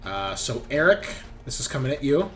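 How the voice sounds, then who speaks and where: A man's voice: mid-range voice, sing-song, normal speed. A man acting as game master in a tabletop roleplaying game, narrating action and explaining the rules. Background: background music.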